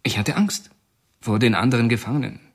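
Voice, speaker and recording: A man's voice: mid-range voice, fairly quick, close to an everyday voice. An actor playing a male prison informant in a radio drama, speaking in a confessional, uneasy manner.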